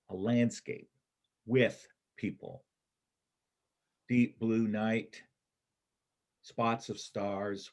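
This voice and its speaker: A man playing a doubtful, wistful bank robber on the run in a reader's theater play, speaking in a hesitant, halting way. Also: lowish voice, a little mellow. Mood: wistful, dreamy. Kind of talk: reader's theater stage acting.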